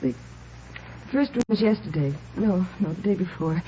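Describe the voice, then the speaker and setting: An actress plays a frightened young woman hiding something in an old radio crime drama. Her halting, stammering speech swings widely in pitch, and the vintage recording is muffled, with some background noise. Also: expressive, dark tone, close to an everyday voice. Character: frightened, secretive young woman hounded by threatening notes. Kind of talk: 1950s radio drama acting.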